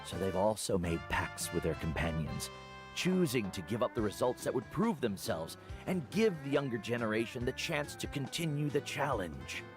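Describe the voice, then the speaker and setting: A wise older mentor from a video game, low-voiced and richly expressive. He speaks in measured, earnest speeches with quiet pride. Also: music in the background. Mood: proud, reflective. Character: wise, proud older male guide and mentor. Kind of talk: scripted video game voice acting.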